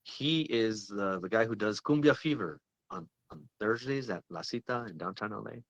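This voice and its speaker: A man's voice: fairly low pitch, moderate pace, dry recording, dull audio. A man who owns a record shop, talking as a guest in a casual interview, laid-back and advising.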